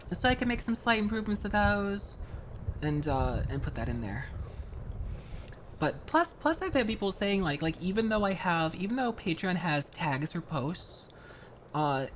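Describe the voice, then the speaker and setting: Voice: mid-range voice, slight background noise, rough recording. A streamer chatting casually with viewers while building a model kit, rambling from one thought to the next and greeting people by name. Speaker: VTuber streamer.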